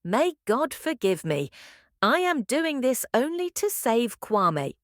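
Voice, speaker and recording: A woman's voice: fairly high pitch, big pitch swings, slow pace, no room sound, studio quality. A woman voicing a devoted, anguished young wife who speaks earnestly and pleadingly in a told folktale. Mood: defeated.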